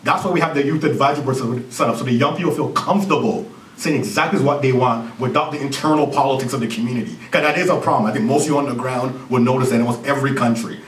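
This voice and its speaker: A man in his thirties with a Jamaican accent, a CEO giving an energetic conference presentation and joking with the audience. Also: medium pitch, quick pace, a little hiss or hum. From Canada. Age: 30s. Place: university lecture hall. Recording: roomy hall recording from far off.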